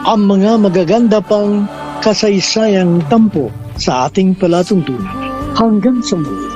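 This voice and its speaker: Filipino guy announcer reading radio drama credits, kinda high voice, music playing under him. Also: animated, a little slow, muffled recording. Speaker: programme announcer and host.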